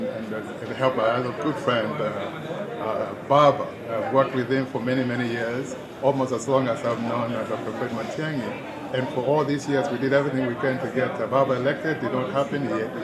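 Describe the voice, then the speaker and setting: A man with a Kenyan accent, interviewed at a town hall, speaking earnestly and persuasively with frequent restarts. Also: mid-range voice. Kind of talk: street interview, political commentary. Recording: loud crowd behind.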